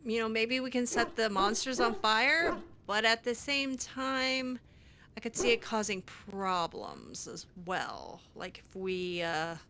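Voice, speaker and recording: A woman narrating her roleplay playthrough in a bright, slightly high voice. She talks slowly and casually, musing aloud, with faint music behind her. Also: animated, slight background noise. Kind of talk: casual in-character game commentary.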